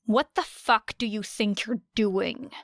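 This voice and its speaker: A woman voicing a fierce, blunt teenage girl with a sharp, growling delivery in an audiobook. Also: mid-range voice, slow, no echo, studio quality. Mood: angry, sharp.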